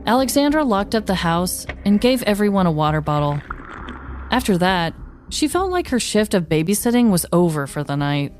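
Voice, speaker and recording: A woman's voice: medium pitch, sing-song, normal speed, darkish tone, faint music behind. A woman podcast host narrating a scripted comedic story.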